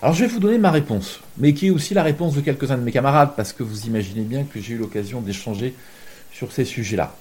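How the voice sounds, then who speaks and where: A man with a mellow, soft-edged voice at a middling pitch. He answers as an interviewed reporter, deliberate and reflective, circling back to rephrase his points. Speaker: journalist, war reporter being interviewed.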